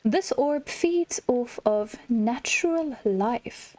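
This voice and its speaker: A woman reading aloud the lines of a helpful old male wizard from a video game, in a measured, deliberate way. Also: on the high side, animated, a little mellow. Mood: explaining.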